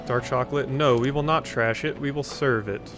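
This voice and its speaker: Guy playing a game and talking himself through it, mid-pitched voice, music going behind. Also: steady tone, normal speed. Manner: thinks out loud. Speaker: let's play host. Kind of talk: casual game commentary, reading visual novel dialogue aloud.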